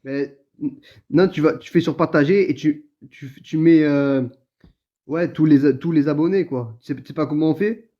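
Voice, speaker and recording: A man with a fairly high voice chats casually as host of a livestream. The sound is dull and lo-fi. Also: moderate pace. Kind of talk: casual chat.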